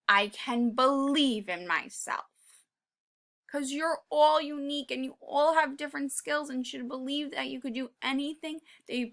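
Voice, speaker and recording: A woman reading a children's picture book aloud, pausing to talk to young listeners in an encouraging way and sometimes dropping to a whisper. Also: sits high. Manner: drops to a whisper at quiet moments. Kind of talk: read-aloud with commentary for kids.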